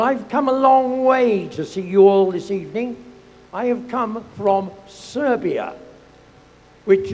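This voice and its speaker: High-voiced man announcing in an echoey hall. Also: a little mellow. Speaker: event presenter. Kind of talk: theatrical stage announcing. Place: large event hall. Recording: echoey hall with faint ambience.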